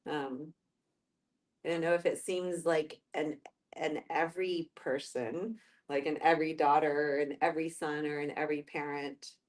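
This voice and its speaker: A woman's voice: sits low, steady tone, normal speed. A woman in a casual group discussion, searching for her words as she shares and asks questions.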